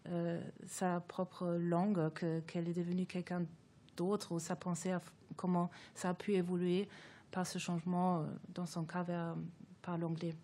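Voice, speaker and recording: A woman's voice: on the low side, flat delivery, measured pace, mellow. A woman whose first language is German, a guest author speaking reflectively in an academic discussion, thoughtful and hesitant with many fillers and restarts.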